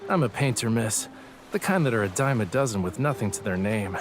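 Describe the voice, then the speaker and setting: A man plays a young gentleman painter in an audio drama. He speaks in a low voice with polite, teasing cool, over prominent background music. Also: expressive, brisk, close to an everyday voice. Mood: puzzled, courteous. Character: young male painter, courteous, teasing, coolly puzzled gentleman.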